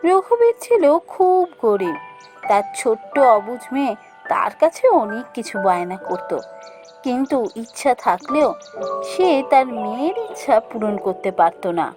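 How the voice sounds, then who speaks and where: Casting: female fairy-tale narrator, high-pitched and animated, reading scripted story lines over clear background music. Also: a little slow.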